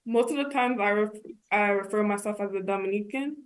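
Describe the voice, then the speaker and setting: A young woman with a medium-pitched voice gives casual interview answers. She is a college student from the United States, plain-spoken, working out her thoughts as she talks. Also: a little fast. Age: young adult.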